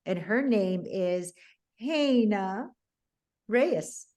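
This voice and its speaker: A woman hosting a podcast, welcoming and introducing a guest in a measured, encouraging way. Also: medium pitch, animated, dark tone. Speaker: podcast host. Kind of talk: friendly interview hosting.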